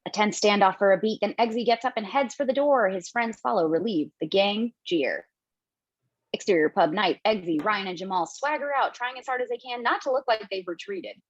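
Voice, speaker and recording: A woman narrating stage directions in an amateur table read over a video call, brisk and quick to laugh. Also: mid-range voice, no echo, highs cut off.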